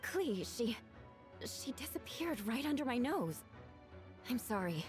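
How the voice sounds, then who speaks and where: A woman's voice: fairly high pitch, sing-song, measured pace. A woman voicing an anxious, apologetic young woman in a video game, speaking in an earnest, flustered way. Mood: distressed, guilty. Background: game music.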